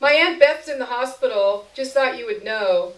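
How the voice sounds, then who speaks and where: A woman's voice: fairly high pitch, lively intonation, moderate pace, a bit bright, pitched above the performer's own voice. A woman voicing a pushy, chatty man who calls through a door in a story reading, casual and insistent. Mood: insistent coaxing. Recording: roomy live stage recording, distant mic.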